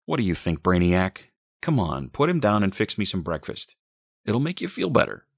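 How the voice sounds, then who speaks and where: A man voicing a gruff, dismissive husband in an audiobook, brusque and scornful. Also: fairly low pitch, sing-song, moderate pace, no room sound, studio quality, pitched above the performer's own voice, exaggerated voice.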